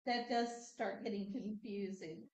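A woman's voice: mid-range voice, steady tone, a little slow, muffled recording, a loud crowd. Lady doing class announcements, chatty and loose, echoey room. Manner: chatty, laughs easily, loose phrasing. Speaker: training coordinator introducing the speaker. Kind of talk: casual announcements. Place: classroom, indoors.

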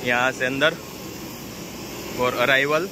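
A man's voice: mid-range voice, fairly even intonation, slow. A man in his thirties chatting casually as he shows a flight and an airport, filming with a phone at arm's length. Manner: chatty, informal, guiding the viewer. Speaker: travel vlogger. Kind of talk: casual travel vlog narration. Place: inside a small plane, then outdoors at an airport. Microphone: phone camera at arm's length. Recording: noisy with engine and outdoor noise.

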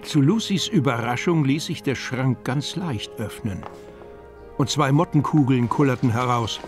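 Male narrator, played by an actor, mid-pitched voice, storybook radio play, music underneath. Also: measured pace, lightly acted. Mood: calm storytelling. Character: male storyteller narrating a children's fantasy tale.

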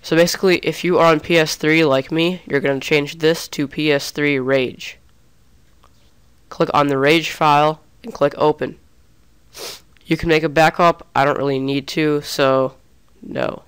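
A man's voice: fairly high pitch, fairly even intonation, unhurried, a bit bright, clear, resonant tone. A young man talking through a gaming tutorial in a casual, chatty way, a little under the weather. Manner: casual, chatty, restarts often. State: sick, slightly off voice. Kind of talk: screen-recorded tutorial.

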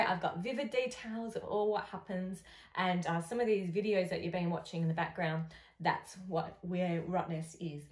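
A woman with an Australian accent chatting casually and playfully to camera as an author promoting her book, in an animated, informal way. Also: mid-range voice. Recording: echoey room sound.

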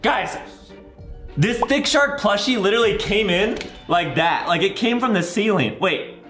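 Man, twenties, gaming host, excitable, exaggerated reactions, drawn-out delivery, roomy room, music behind. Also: sits high. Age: 20s. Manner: exaggerated, theatrical reactions with shouts and drawn-out words. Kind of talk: excitable gameplay commentary for kids. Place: indoor room with bare walls.

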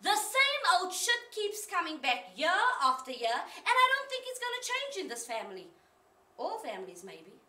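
A woman's voice: sits high, big pitch swings, a little slow, sharp tone, heavily acted. An actress performing a dramatic monologue as a fiery, rueful sister confessing her temper to God in colloquial, emphatic speech. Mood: exasperated. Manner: colloquial, emphatic, self-questioning. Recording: roomy, distant mic, faint noise.

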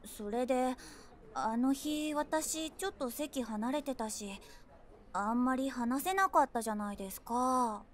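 An eager young woman trying to charm her date in a comic audio drama. Her voice is high and cutesy. She talks politely, keen to draw the other person out. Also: a little slow. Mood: eager, probing. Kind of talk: comedy audio drama dialogue.

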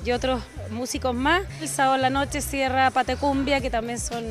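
A woman's voice: high voice, fairly even intonation, moderate pace, soft background music. Woman chatting loosely about an event. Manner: loose, searching speech with fillers. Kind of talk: casual interview answer.

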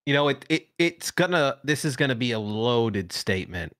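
A man's voice: mid-range voice, very animated, normal speed, a bit bright, dry recording. A man co-hosting a casual podcast, making wry teasing remarks into a desk mic in a studio room. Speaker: podcast co-host. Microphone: desk microphone.

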